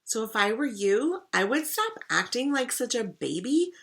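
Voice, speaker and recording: A woman reading a storybook aloud, voicing a scheming older sister who speaks slowly to sound ominous. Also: on the high side, big pitch swings, a bit bright, voice pushed up. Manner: slow, drawn-out, mock-ominous.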